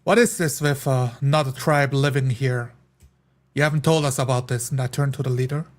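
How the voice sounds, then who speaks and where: A stern adventurer, played by a man in a tabletop role-playing game. The voice sits at a medium pitch with a very flat melody, as if pressing captives for answers. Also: moderate pace, natural voice. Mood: stern, questioning.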